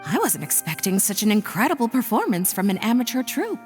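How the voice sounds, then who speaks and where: A woman's voice: mid-range voice, big pitch swings, fairly quick, faint music behind, close to an everyday voice. A woman playing a chatty theatergoing townsperson in a video game. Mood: impressed.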